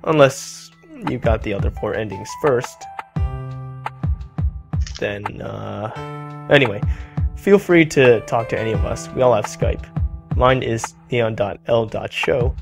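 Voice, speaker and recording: Guy voice actor thanking players, flat shy delivery, music playing behind. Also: slow, gravelly, long pauses. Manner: flat, low-key, shy. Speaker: voice actor giving a closing message. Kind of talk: read-out thank-you message.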